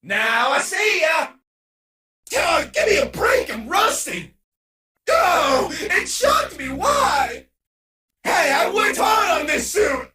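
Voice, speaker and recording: A cocky game villain with a very high, swooping voice. The showman is hyperactive and theatrical, throwing out brash taunts. It sounds roomy, with an echo around every shout. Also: very animated, slow, recorded from a distance, full character voice. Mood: exasperated. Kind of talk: exaggerated video game character voicelines.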